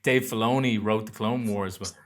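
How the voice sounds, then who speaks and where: A man's voice: on the low side, fairly even intonation, moderate pace. A man hosting a podcast interview, asking eager, fan-like questions and often restarting his sentences. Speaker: podcast host. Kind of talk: casual interview.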